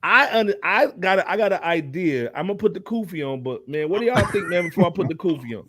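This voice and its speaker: A man's voice: high voice, lively intonation, fast, a bit bright, no room sound. A man in his forties with an American accent, hosting a casual hip hop panel talk in slangy, emphatic style with repeated phrases. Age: 40s.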